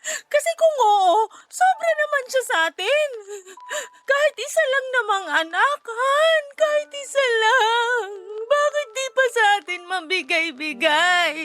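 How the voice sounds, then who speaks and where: A woman's voice: very high voice, expressive, a little slow, fairly bright tone, exaggerated voice. A woman playing an anxious, crying wife who longs for a child in a radio drama, pleading and emotional. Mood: tearful, resentful. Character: tearful, anxious wife longing desperately for a child.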